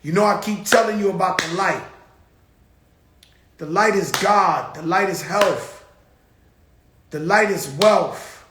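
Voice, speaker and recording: A man's voice: high-pitched, echoey room, background noise. A man hosting his own show, talking in a blunt, profane, rambling casual monologue. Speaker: show host.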